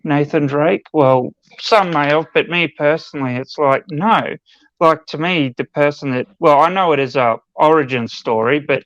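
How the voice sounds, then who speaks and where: A man with a slightly high voice shares opinionated gripes in a casual podcast chat. His audio is very dry and somewhat muffled. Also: normal speed, fairly bright tone, no echo. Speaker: podcast co-host. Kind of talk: casual panel discussion.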